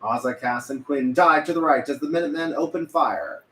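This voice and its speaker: A man's voice: on the high side, fairly quick, fairly bright tone. A man reading scripted narration as narrator at a table read. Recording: echoey room, faint background noise.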